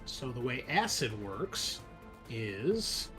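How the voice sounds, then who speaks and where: Male game master, medium pitch, casual rules talk and scene narration, faint music behind. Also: sing-song, takes it slowly.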